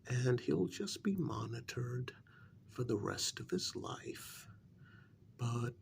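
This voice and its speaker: A man playing a reasonable, hesitant confidant to vampire nobility in a soft-spoken ASMR role-play, his soft voice often dropping into whispers. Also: mid-range voice, animated, creaky, a little hiss or hum, exaggerated voice. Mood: calm, pensive. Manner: hesitant, measured, gently reasoning.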